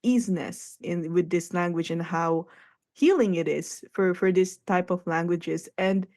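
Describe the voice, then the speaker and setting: Woman radio host from the philippines, low voice, chatty and reflective, laughs easily. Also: a little slow, dark tone. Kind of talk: casual radio conversation.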